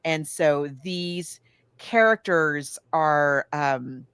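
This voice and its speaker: Low-voiced woman, chatty podcast host, close and dry. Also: extremely slow, a bit bright, clear, resonant tone, no echo. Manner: chatty, enthusiastic, emphatic with repeated intensifiers. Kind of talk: casual book and film discussion.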